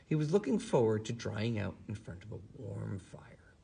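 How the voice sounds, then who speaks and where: A man reading aloud to a child in an expressive, animated storytelling manner. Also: fairly low pitch, normal speed, creaky, breathy edge. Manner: animated, acts out character lines. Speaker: storybook reader. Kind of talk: expressive read-aloud of a children's story, then casual chat.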